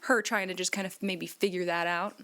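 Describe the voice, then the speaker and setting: Bright-voiced woman chatting casually. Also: on the low side, steady tone, normal speed. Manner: informal, peppered with "like". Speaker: tabletop game player. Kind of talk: casual chat.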